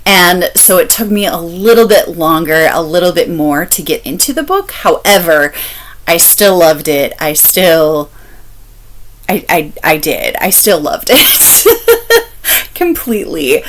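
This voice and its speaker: A woman talks in a casual, chatty review style at a slow, deliberate pace. Her voice sits a little low but swings widely in pitch. She is candid and expressive, repeating phrases to drive them home. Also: slight background noise. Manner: emphatic, repeats phrases for stress; candid, expressive, laughs while talking. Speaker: book reviewer vlogger.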